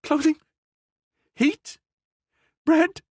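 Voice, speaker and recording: A man voicing a half-mad, starving woman prisoner who cries out in desperate, shrill pleas. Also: very slow, no echo, full character voice. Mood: frantic pleading.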